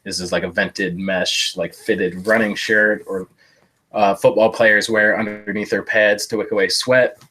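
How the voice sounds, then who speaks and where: A man's voice: fairly low pitch, flat delivery, a little slow, sharp tone. A man with a stuffy, congested voice chatting casually on a live stream about thrift finds, rambling with lots of fillers and self-deprecating jokes. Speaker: thrift reseller streaming a haul. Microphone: live-stream setup.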